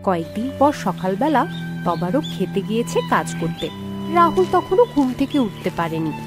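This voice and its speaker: A woman's voice: mid-range voice, very animated, moderate pace, over music. A woman reading scripted narration for a children's fairy tale cartoon.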